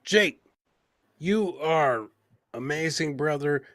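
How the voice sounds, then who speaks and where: A man's voice: on the high side, animated, slow pace, a bit bright, no room sound, clean studio sound. A man hosting a casual livestream podcast, bantering informally with some swearing and lots of "man" and "brother". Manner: informal, profane, says "man" and "brother" often. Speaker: podcast host.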